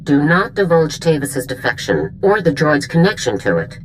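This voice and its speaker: A stern woman general in a military game. Her voice is very low yet moves with forceful, varied intonation. She is formal and commanding, with some ambient noise behind her. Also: big pitch swings, moderate pace, low-quality recording. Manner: authoritative, clipped, formal. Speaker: general briefing a soldier. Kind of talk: video game dialogue, military briefing.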